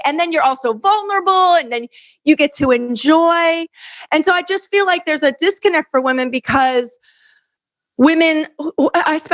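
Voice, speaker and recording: A woman with a distinctly high voice speaks as a coach being interviewed. She explains in a conversational, earnest way. Key phrases get repeated and stressed for emphasis. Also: a bit bright. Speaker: guest dating coach and matchmaker.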